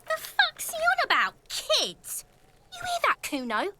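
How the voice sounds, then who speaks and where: A woman's voice: extremely high pitch, wildly swooping pitch, measured pace, heavy background noise, exaggerated voice. A woman playing a bratty, foul-mouthed street kid in a video game, jeering crudely. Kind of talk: scripted game dialogue.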